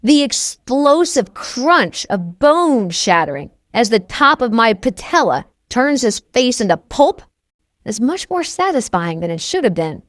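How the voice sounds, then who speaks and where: A woman's voice: fairly high pitch, no room sound, clean studio sound. A woman reading first-person scripted novel narration as an audiobook narrator.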